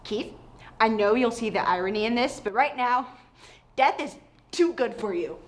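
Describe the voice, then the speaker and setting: A woman's voice: fairly high pitch, animated, moderate pace, a little hiss or hum, natural voice. A woman playing an indignant, devoted and theatrical character in a comedy-drama web series.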